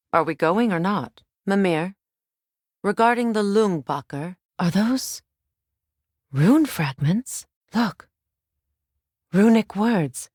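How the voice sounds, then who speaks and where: An actress playing a proud, wise warrior sorceress in a video game, with measured, formal delivery and dry wit. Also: female voice, medium pitch, darkish tone, no room sound. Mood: measured, watchful. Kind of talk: video game character dialogue.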